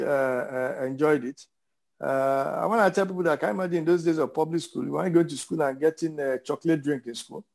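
A man in his fifties with a Nigerian accent giving reflective interview answers, haltingly and with hesitation, repeating words for emphasis. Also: on the high side, gravelly, darkish tone. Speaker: interview guest, businessman.

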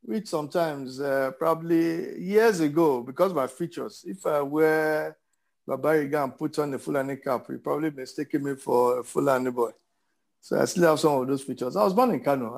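A man in his fifties with a Nigerian accent giving reflective interview answers, haltingly and with hesitation, repeating words for emphasis. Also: mid-range voice, creaky, darkish tone. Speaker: interview guest, businessman.

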